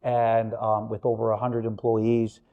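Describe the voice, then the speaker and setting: A man from Honduras in his forties, a business-owner guest who talks in a halting way with many fillers. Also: fairly low pitch, monotone, narrow, tinny audio. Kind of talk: casual interview chat.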